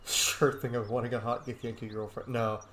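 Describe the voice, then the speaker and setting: A man's voice: medium pitch, little pitch movement, a little fast, fairly bright tone, slight background noise. A man hosting a casual video game roleplay commentary, slightly under the weather and a bit sniffly. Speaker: gaming channel host. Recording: roomy echo, faint game music behind. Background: faint game music.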